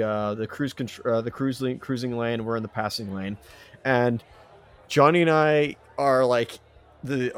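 A man co-hosting a podcast in casual banter, with a halting, stammering delivery. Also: mid-range voice. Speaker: podcast co-host.

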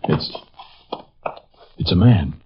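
An actor playing an earnest, bitter, lovesick young student, sullen and intense, in a 1950s radio drama. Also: male voice, drawn-out words. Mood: alarmed. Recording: muffled vintage radio recording.

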